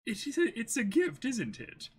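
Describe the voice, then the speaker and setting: A man voicing a gentle, grateful, polite young lady in an amateur manga reading. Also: very high voice, wildly swooping pitch, moderate pace, breathy edge, voice pushed up, full character voice. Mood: grateful, sweet.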